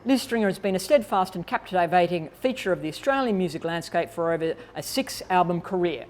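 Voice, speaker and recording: A woman's voice: lowish voice, expressive, fairly quick, darkish tone. A woman presenting a workshop in an informal, conversational way, explaining and thinking aloud as she demonstrates. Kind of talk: informal instructional talk to attendees.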